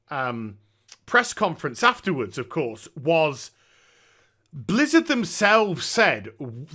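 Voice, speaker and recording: A man with a fairly high-pitched voice and a bright, forceful edge. He talks like an opinionated gaming commentator speaking off the cuff, blunt and emphatic, repeating key words for stress. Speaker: gaming news commentator and streamer.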